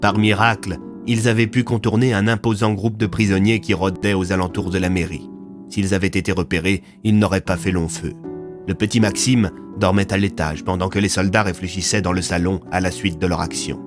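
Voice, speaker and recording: A man's voice: deep voice, fairly even intonation, a little fast, music in the background. A man narrating a serialised audio fiction in a scripted, dramatic storytelling style.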